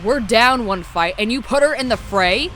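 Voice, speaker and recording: A woman's voice: a little high, expressive, moderate pace, a bit bright. An angry female clan teammate, acted by a woman in a visual novel. She is confrontational and accusing, with music faintly behind. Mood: incredulous anger. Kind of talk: animated visual novel acting.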